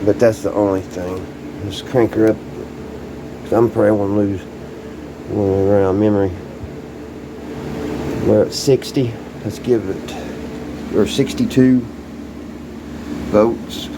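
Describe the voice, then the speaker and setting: A man with a fairly low voice talks casually through a hands-on hobby demonstration. He is informal and folksy, thinking aloud as he works. A clearly audible ambient hum sits behind him on the camera's microphone. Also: fairly even intonation, unhurried, pauses often. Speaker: hobbyist showing restored vintage radios. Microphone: handheld camera, sometimes set down farther away. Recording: loud background hum and ambient noise.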